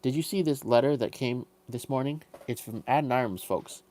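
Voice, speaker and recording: A man voicing a homely farmer's wife from a storybook, brisk, teasing and practical. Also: medium pitch, mellow, close to an everyday voice.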